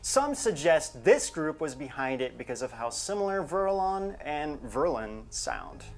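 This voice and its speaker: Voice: mid-range voice, sing-song, unhurried, vocal fry, soft background music. A co-host narrating in a conversational but scripted commentary style, with emphatic delivery on quoted material.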